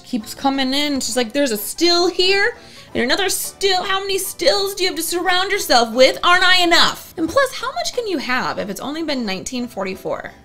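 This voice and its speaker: An American woman in her thirties co-hosting a show, bantering casually in a playful, expressive way. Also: very high-pitched, fairly quick, soft background music. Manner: playful, expressive, incredulous asides. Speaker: co-host of a whiskey review show. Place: small indoor home-style bar set.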